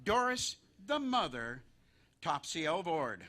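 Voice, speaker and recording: A man's voice: fairly high pitch, big pitch swings, slow, noisy background. A man announcing a scripted live radio-style variety show as its host.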